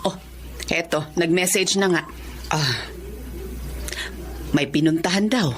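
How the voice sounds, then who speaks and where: An actress playing a good-natured, chatty aunt and cousin in a radio drama, animated and reassuring. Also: female voice, lowish voice, slow, noisy background.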